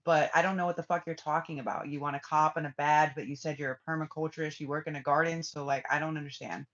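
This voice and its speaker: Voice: mid-range voice, little pitch movement, quick pace, a bit bright. A spiritual reader hosting a live stream, chatting casually to viewers and answering their comments. They ramble, restart sentences and swear bluntly. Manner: trails off and restarts often. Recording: dull lo-fi stream audio.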